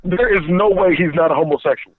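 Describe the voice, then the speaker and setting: A man's voice: high-pitched, fast talker, a crowd in the background. A man phoning in to a casual talk show, telling a rambling story and stumbling over his words. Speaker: phone-in guest. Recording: tinny phone line.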